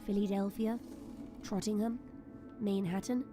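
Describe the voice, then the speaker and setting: A woman's voice: medium pitch, fairly even intonation, a little slow. A woman reading scripted, dramatic story narration as the narrator of an audio drama. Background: soft music.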